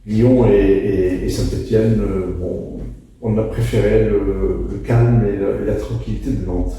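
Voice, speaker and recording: A man in his sixties speaks from a distance in a very reverberant room. His voice is very dark and gravelly. He talks in a reflective, halting way, choosing words with care and repeating some for weight. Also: on the low side, steady tone, slight background noise. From Morocco, moved to France at thirteen. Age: 60s. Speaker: interview guest, physics teacher. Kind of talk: reflective conversational interview answers. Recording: very echoey room, distant mic.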